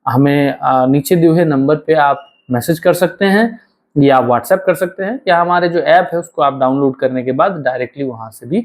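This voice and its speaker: A man with a hoarse, sore throat, teaching an online exam-prep lecture and talking straight to his students, repeating key phrases slowly. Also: on the high side. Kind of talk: online lecture with course promotion.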